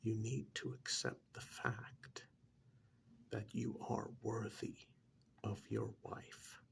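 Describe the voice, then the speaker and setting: A man playing a calm, blunt couples therapist in a soft-spoken ASMR role-play, half-whispering with breathy, deliberate, hesitant pauses. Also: fairly low pitch, heavily acted. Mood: reassuring. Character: calm, blunt male couples therapist counselling married clients. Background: soft clicking of pencil tapping.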